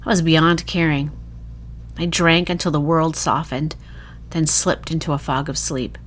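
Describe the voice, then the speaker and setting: A woman reading a first-person horror short story in a dramatic, emotive, hushed storytelling manner. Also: low-pitched, fairly even intonation, unhurried, slight background noise.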